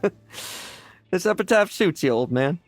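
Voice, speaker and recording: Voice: mid-range voice, expressive, normal speed, lightly acted. An actor playing a cocky, defiant young male fighter in a video game, with a wry, teasing manner. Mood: wry fondness. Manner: wry, teasing, self-assured. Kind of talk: dramatic game voice acting.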